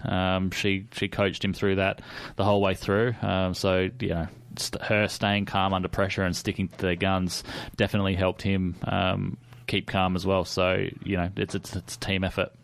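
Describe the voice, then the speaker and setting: A man co-hosts a motorsport radio show in a studio. His voice is low and flat, and the sound is dry and close. He talks in a steady way with some dry teasing. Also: smooth, no room sound. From Australia. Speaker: co-host. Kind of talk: casual motorsport chat.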